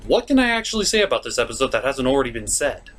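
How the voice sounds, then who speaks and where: A man's voice: mid-range voice, very animated, rapid-fire, fairly bright tone. A man giving a casual scripted review commentary with a theatrical, emphatic delivery. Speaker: cartoon reviewer and show host. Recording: noticeable background noise.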